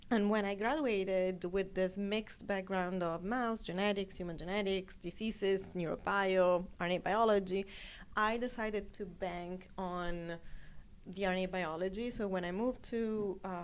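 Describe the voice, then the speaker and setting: A woman's voice: mid-range voice, smooth. Chatty Italian woman telling her story. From Italy. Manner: chatty, tangent-prone, self-aware. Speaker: guest scientific editor. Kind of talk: conversational interview storytelling.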